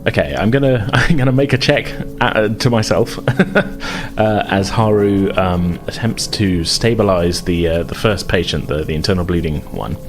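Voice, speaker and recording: A man's voice: low-pitched, normal speed. A man narrating an improvised tabletop game as game master, explaining rules and scenes in a tentative, meandering way. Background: music under the voice.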